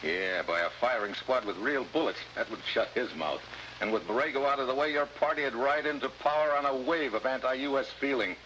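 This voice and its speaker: A man's voice: mid-range voice, fairly quick, bright, forceful tone, close to an everyday voice. An American man playing a tough, wisecracking special agent in a 1950s adventure drama, with a sardonic, slangy delivery. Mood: hard, accusing. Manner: hard-boiled, sardonic, slangy. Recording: faintly noisy vintage 1950s TV soundtrack.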